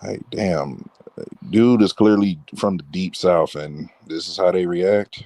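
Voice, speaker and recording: A man's voice: low-pitched, takes it slowly, a little mellow, no room sound. A man from Detroit chatting casually in a blunt, slangy way. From Detroit, United States. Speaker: panel caller. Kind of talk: casual chat.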